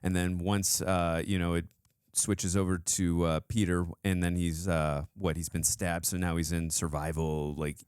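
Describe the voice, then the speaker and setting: A man with a very deep, smooth voice talks as a reaction show host. His delivery is casual, effusive and emphatic, like an excited fan giving a review. The sound is close and dry. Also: unhurried, dry recording. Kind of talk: casual enthusiastic review chat.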